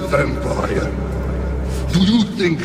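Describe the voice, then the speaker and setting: An actor playing a grieving, vengeful warrior in a dramatic fantasy game, speaking in a slow, accusing manner. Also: male voice, lively intonation. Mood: bitter, accusing. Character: vengeful grieving warrior, brother of slain Pan. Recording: long reverb, loud rumbling noise behind.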